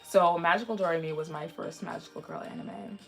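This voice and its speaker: A woman in her twenties chatting casually on a livestream in a rambling way, slightly raspy from a sore throat. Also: lowish voice, brisk, a bit bright. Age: 20s. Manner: chatty, rambling, frequently restarting sentences. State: mildly sick with a sore throat. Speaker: anime livestream host. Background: background music.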